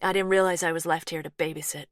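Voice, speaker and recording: Lady putting on a soft american twang, nervy young woman, low and dry. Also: steady tone, fast talker, no room sound, natural voice. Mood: defensive, anxious. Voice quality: soft, firm. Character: softly spoken anxious American young woman.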